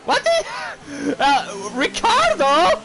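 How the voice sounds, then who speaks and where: French-native male gamer; slow, lively-pitched rambling commentary, engine noise behind. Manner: rambling, easily distracted, quick outbursts. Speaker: gaming YouTuber. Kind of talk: casual gameplay commentary. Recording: gameplay audio with clear engine noise.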